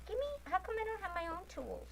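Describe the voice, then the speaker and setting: A woman's voice: very high voice, big pitch swings, fast talker, creaky. Teasing woman bantering during a demo. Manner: teasing, playful interjections. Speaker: co-host in demo. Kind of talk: casual banter.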